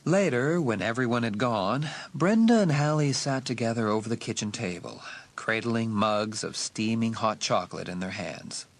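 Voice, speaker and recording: A man's voice: mid-range voice, expressive, moderate pace. Male narrator for a suspense audiobook; middle register, scripted storytelling read.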